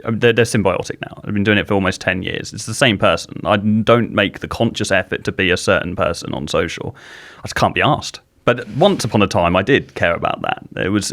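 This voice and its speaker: A man's voice: on the low side, fairly bright tone. A man hosting a podcast, talking bluntly in a casual interview and swearing casually. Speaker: podcast host.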